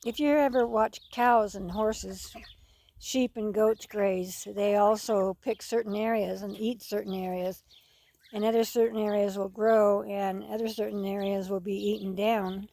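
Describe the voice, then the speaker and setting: A woman's voice: medium pitch, steady tone, a little slow, vocal fry, dark tone. A woman rambling casually in a folksy, repetitive way as she narrates a farm video outdoors. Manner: folksy, rambling, repeats herself. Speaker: farm vlogger. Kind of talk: casual unscripted narration while filming animals. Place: outdoors on a farm, among poultry.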